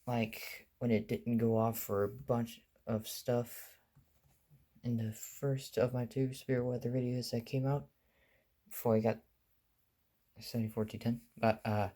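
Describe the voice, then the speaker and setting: A man's voice: mid-range voice, fairly even intonation, normal speed, mellow. Guy chatting casually thru his collection, medium voice, kinda rambly hobbyist video. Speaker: hobbyist vlogger. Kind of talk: casual show-and-tell chat.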